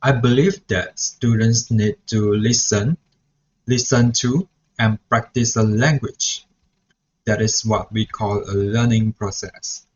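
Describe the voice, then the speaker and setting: A young man who grew up speaking Mandarin, talks through a reflection vlog as a student teacher, hesitant and full of "so yeah" restarts. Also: lowish voice. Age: young adult. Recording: dull lo-fi recording.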